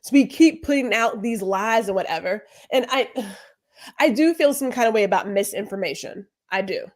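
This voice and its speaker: A woman's voice: medium pitch, lively intonation, moderate pace. A woman co-hosting a podcast, talking in a casual, emphatic way with lots of rhetorical questions. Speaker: podcast co-host. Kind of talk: casual conversation.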